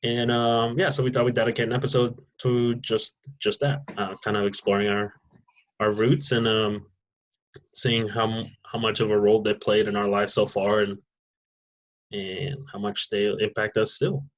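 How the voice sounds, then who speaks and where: Low-voiced man hosting a casual podcast chat. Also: little pitch movement, moderate pace, lo-fi sound. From Mexico and Nebraska. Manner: loose, rambling, with frequent fillers and restarts. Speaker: podcast host. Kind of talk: casual conversation.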